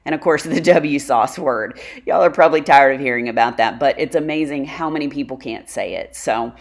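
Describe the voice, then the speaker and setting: Deep-voiced Texan woman chatting. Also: brisk. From Dallas, Texas. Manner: chatty, drawling, laughs often. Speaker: channel host. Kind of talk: casual chat to viewers.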